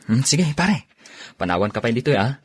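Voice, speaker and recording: A man's voice: mid-range voice, big pitch swings, moderate pace, breathy edge. A man playing a casual male friend in an audio drama, with an Ilocano accent. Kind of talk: dramatized radio-style story acting.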